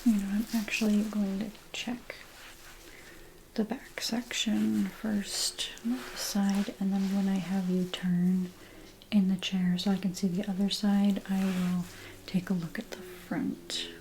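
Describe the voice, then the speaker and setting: A woman doing an ASMR role play as a caregiver, speaking softly and often in a whisper, in a gentle, unhurried, attentive manner. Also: mid-range voice, rough recording. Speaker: ASMR role-player acting as lice checker. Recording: clear background noise.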